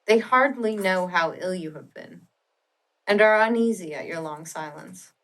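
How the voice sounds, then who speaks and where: A woman voicing a devoted, earnest young gentleman friend in an audiobook, gentle and full of concern. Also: on the low side, a little slow. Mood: gentle reassurance.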